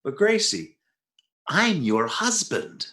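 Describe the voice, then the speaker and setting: A man's voice: a little high, wildly swooping pitch, slow, fairly bright tone, heavily acted. A man playing a jealous, exasperated husband in a 1940s-style radio comedy, sarcastic and huffy. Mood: hurt, indignant. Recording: roomy, echoing recording.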